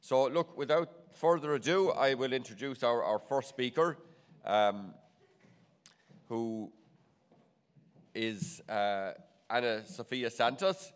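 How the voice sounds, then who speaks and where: A man's voice: medium pitch, expressive, clean tone. A middle-aged Irish man with a cold that makes him nasal, chairing a conference and opening it from a podium microphone in a large wood-panelled hall. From Ireland. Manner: measured, frequent restarts and fillers. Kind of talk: formal opening remarks. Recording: long hall reverb.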